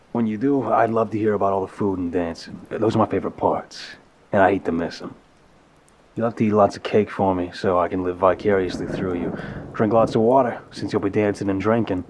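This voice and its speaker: A man playing an apologetic, smitten mafia boss in an intimate audio roleplay, tender and wryly self-deprecating, with a husky voice. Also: on the low side, darkish tone, slight background noise, close to an everyday voice. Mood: affectionate, admiring. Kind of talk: intimate ASMR roleplay, conversational voicemail monologue.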